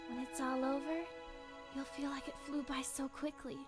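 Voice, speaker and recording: A woman playing a gentle young time traveller in an anime fan dub, patiently explaining and reassuring. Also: sits high, level tone, moderate pace, close to an everyday voice. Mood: wistful, warm. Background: background music.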